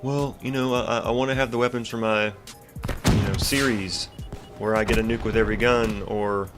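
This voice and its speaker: A man's voice: on the low side, steady tone, normal speed, loud music behind the voice. A congested young man casually ranting about a video game as he comments on his gameplay, rambling with lots of fillers and restarts. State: congested, stuffy nose. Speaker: gaming YouTuber commentating gameplay.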